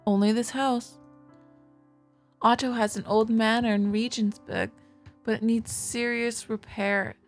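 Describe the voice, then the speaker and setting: Woman playing a troubled, creaky-voiced young wife. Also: a little high, fairly even intonation, unhurried, long pauses, faint music behind. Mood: earnest, explaining. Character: distressed, insistent young wife denying her husband. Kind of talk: visual novel dialogue read aloud.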